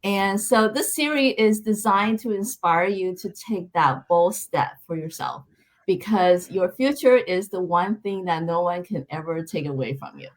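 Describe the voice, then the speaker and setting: A woman's voice: lowish voice, a little slow, poor audio. Middle-aged woman hosting a live video call. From Taiwan. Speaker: host. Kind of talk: hosting a live online interview series.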